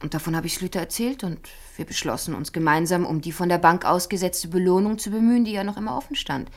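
A woman's voice: fairly low pitch, fast, darkish tone, natural voice. An actress playing a self-possessed, guarded businesswoman in a radio crime play, articulate and matter-of-fact. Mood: composed, explaining. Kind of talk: 1970s radio play dialogue.

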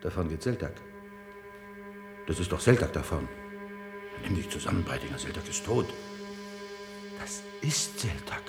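An actor playing a wondering, uneasy newcomer to a space city in a radio play, hesitant and reflective. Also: male voice, extremely low pitch, sing-song, moderate pace, pauses often, over music, heavily acted. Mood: stunned, doubting.

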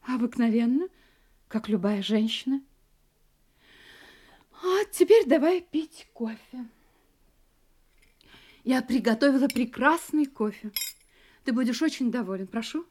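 A woman's voice: fairly high pitch, lively intonation, a little slow, long pauses, darkish tone. An actress in a Russian audio drama playing an anxious wife who hides her terror behind sweet domestic composure. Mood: placating.

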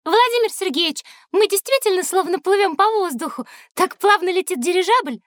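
A woman's voice: very high voice, lively intonation, a little fast, a bit bright, no echo, clean studio sound. An actress playing a wide-eyed, innocent little girl in an educational audio drama, with a childlike, wondering manner.

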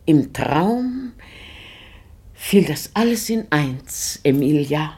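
An actress playing a dreamy, pensive lady in a radio play, musing in a hushed, deliberate way. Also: sits low, big pitch swings, slightly rough, full character voice. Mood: quietly elated, pensive. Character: dreamy, pensive lady confiding in her maid. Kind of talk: German radio play acting.

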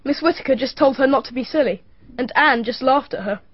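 An actress playing the victim's young sister in a BBC radio drama, speaking bluntly and dismissively. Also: female voice, high-pitched, fairly quick, husky, no echo. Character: young girl, victim's sister, matter-of-fact. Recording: dry, dull archive radio recording.

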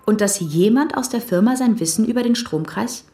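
A lively female crime-drama investigator. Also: a little high, brisk, faint music behind. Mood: explaining, pointed. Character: female undercover police investigator, wry and determined. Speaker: police investigator. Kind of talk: German crime radio drama acting.

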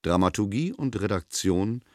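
A man reading scripted radio drama credits as announcer, measured and formal. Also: lowish voice, expressive, darkish tone, no echo, studio quality.

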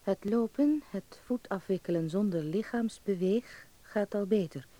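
A man's voice: high voice, unhurried, dark tone. A man playing a hopeful young actor who narrates in the first person, earnest and confiding, in a radio drama. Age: young adult. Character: hopeful young male actor narrating his letter home.

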